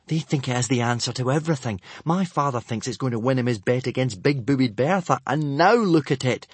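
A man's voice: medium pitch, animated, brisk, breathy edge, no echo, voice pushed up. A man voicing an anxious young Viking boy who frets and pleads. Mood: despairing. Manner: fretful, pleading.

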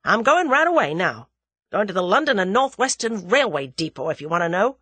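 A woman voicing an impulsive, blustering young American man who talks loudly in slang. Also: medium pitch, brisk, husky, no echo. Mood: subdued. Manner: loud, slangy, excitable.

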